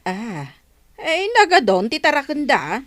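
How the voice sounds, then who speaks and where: A woman with an Ilocano Filipino accent playing a brisk, questioning villager in an audio comedy. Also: high-pitched, big pitch swings, fairly bright tone, no echo, heavily acted.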